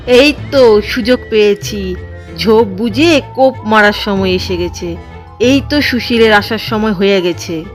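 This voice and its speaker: A woman's voice: mid-range voice, unhurried, faint music behind, lightly acted. A woman playing a sly, jealous older village mother-in-law in a cartoon drama. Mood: sly, bossy. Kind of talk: Bengali cartoon drama dialogue.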